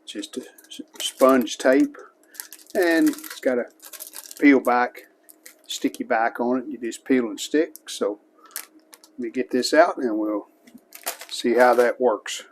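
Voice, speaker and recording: A man's voice: mid-range voice, slow pace, long pauses, a little hiss or hum. A man casually narrating his own hands-on restoration work as a hobbyist host, in a plain-spoken, show-and-tell way.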